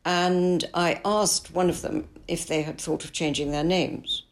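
Low, gravelly older woman author. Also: fairly even intonation. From Italy. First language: English. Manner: measured, thoughtful, frank. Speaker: author and biographer. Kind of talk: podcast interview answers.

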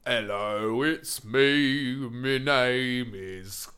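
A man putting on a slow, creepy older man who welcomes a boy to his shed, in a comedy sketch. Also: mid-range voice, bright, forceful tone, slight background noise.

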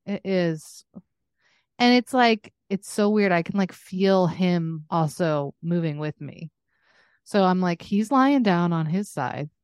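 A woman's voice: on the low side, animated, slow pace, a little mellow, smooth, no room sound, clean studio sound. A young woman co-hosting a podcast in casual comedic chat, with a candid, wry, joking manner. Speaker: podcast co-host.

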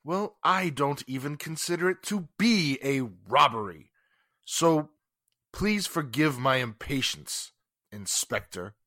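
A man's voice: on the high side, expressive, takes it slowly, bright, forceful tone, dry recording, studio quality, full character voice. An actor playing a haughty, sarcastic, indignant businessman in 1940s radio drama style. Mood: smug, needling. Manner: pompous, formal, sarcastic. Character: haughty, indignant wealthy businessman under police questioning.

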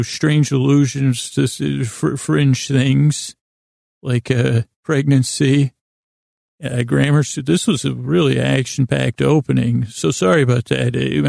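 A man hosting a sleep podcast in a creaky, gravelly voice, rambling haltingly and nonsensically with apologetic, self-deprecating asides, recorded in a small closet. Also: medium pitch, a little mellow, no echo, studio quality. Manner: halting, drawn-out, meandering delivery with repeated self-interruptions. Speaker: podcast host.

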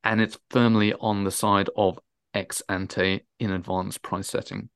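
A man speaking as an expert guest in an interview, emphatic, often repeating and rephrasing his words haltingly. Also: lowish voice, flat delivery, gravelly, smooth, dry recording, clean studio sound. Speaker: transfer pricing specialist, interview guest.

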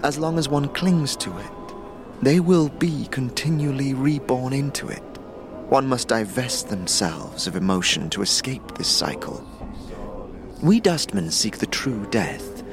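A grave fantasy mourner who has renounced emotion, acted by a man. The words come very slowly and formally, with swells in pitch, over clear background music. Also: sing-song. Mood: solemn, detached. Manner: slow, grave, formal, detached phrasing. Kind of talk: fantasy audio drama acting.

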